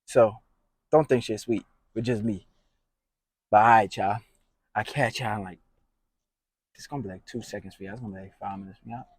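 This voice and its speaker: A man's voice: lowish voice, steady tone, fairly quick, long pauses, slightly rough. A man chatting casually as a prank vlogger, brash and slangy, with frequent swearing. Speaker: prank video creator. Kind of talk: casual profane vlog chat.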